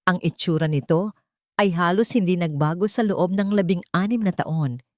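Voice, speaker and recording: Low-pitched Filipino woman narrating drama. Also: moderate pace, no echo, clean studio sound. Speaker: storyteller narrator. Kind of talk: dramatic scripted story narration.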